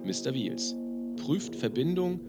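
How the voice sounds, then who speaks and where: A man's voice: medium pitch, sing-song, takes it slowly. A man reading a novel aloud, voicing the characters in dry, clipped narration. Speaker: audiobook reader. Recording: music loud underneath.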